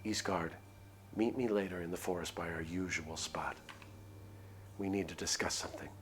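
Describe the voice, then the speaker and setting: A man reads as a cold village woman from a visual novel, bossy and matter-of-fact, giving urgent orders. The voice is on the low side, with noise in the background. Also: fairly even intonation, moderate pace, fairly bright tone. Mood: hushed, secretive. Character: cold, commanding village woman plotting a killing.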